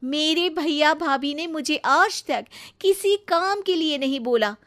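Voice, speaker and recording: A woman voicing a spoiled, haughty young model in an animated family drama, petulant and snappy, mixing in English words. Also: high-pitched, slow. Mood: furious, complaining. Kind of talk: melodramatic animated story voice acting.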